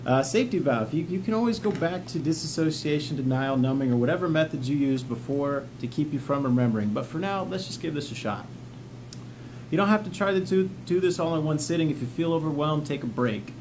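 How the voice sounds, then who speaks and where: A man's voice: on the high side, fairly quick, darkish tone. A man speaking in a casual, plain-spoken, encouraging way, giving informal instruction partly read from notes, as the host of a vlog. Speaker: vlog host, peer mentor for trauma coping. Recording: clearly noisy ambient background.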